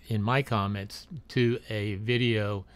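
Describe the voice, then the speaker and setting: A man with a somewhat low voice reads a factual voice-over in a plain, steady way. He stumbles and restarts now and then. The recording is close and dry, with no room sound. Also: slow pace, no room sound. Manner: reads a factual text, with small slips and restarts. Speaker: narrator of a drone video. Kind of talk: read-aloud documentary narration.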